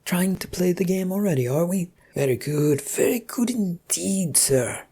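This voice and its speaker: Sadistic male torturer for a story, played by the narrator: high, breathy, taunting, chatty, falsely courteous. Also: lively intonation, unhurried, warm tone. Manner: taunting, chatty, mock-polite.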